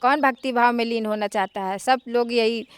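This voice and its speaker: A woman's voice: on the high side, fairly even intonation, moderate pace, smooth, no echo. A woman folk singer giving short, matter-of-fact answers. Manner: brief, matter-of-fact replies. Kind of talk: interview answers and folk song.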